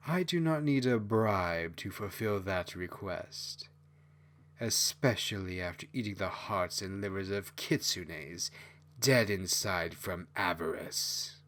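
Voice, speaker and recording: Man voicing a slow, bloodthirsty fox demoness. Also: deep voice, a bit bright. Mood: hungry, disdainful. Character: bloodthirsty female fox demon, servile henchwoman.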